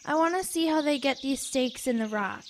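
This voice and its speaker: Woman voicing young girl scout, high, curious, radio drama, birdsong noise. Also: normal speed, natural voice. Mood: cautious. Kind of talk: audio drama acting. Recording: noisy outdoor sound with birdsong.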